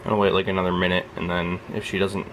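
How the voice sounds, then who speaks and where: Young guy with a really deep voice, just woke up, rambling quietly on his vlog at home. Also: little pitch movement, fast talker, a little hiss or hum, rough recording. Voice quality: very low voice. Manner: rambling, soft-spoken, keeps his voice down. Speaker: reptile keeper filming his snake. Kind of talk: rambling casual vlog commentary. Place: at home beside a reptile rack.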